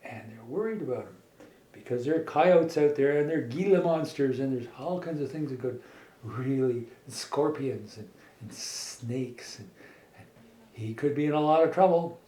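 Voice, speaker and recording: A man's voice: medium pitch, normal speed, dark tone, close to an everyday voice. A man voicing a worried, earnest female talking car in a children's story. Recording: echoey room with faint background noise.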